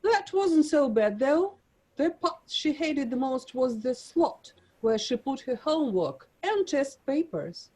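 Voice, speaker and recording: A woman's voice: high-pitched, sing-song, takes it slowly, mellow, poor audio. A woman, an English teacher, reading narration steadily. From Russia.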